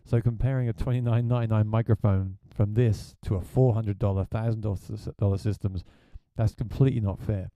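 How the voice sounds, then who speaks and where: Deep-voiced man reviewing gear. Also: steady tone, brisk, hardly any pauses, mellow, no echo. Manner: chatty, plain-spoken. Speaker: gigging musician reviewing gear.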